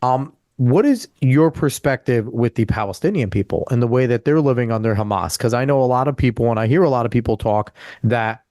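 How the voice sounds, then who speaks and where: A young man co-hosting a podcast interview, asking measured, conversational questions. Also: medium pitch, darkish tone, no echo. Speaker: podcast co-host.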